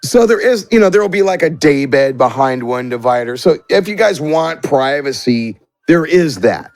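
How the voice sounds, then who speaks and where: Animated game master, a higher-voiced man. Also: moderate pace, dry recording. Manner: informal, conversational. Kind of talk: improvised narration of a tabletop roleplaying game.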